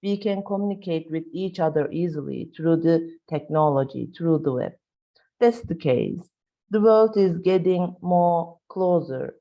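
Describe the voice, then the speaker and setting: A woman from Turkey with a Turkish accent giving a webinar lecture, halting with frequent fillers and asking "right?" to check in with listeners. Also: lowish voice, dark tone. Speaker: university lecturer. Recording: dull lo-fi webinar audio.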